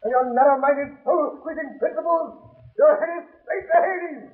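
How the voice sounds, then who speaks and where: An actor playing a desperate, pleading young doctor with an agitated, halting delivery in a 1930s radio drama. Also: male voice, very high voice. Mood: bitter, indignant. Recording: tinny, band-limited 1930s radio recording.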